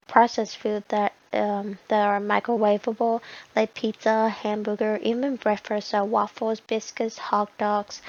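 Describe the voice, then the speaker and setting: Vietnamese-accented woman, flat and hesitant. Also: mid-range voice, lo-fi sound. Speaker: student presenter. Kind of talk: informal class presentation.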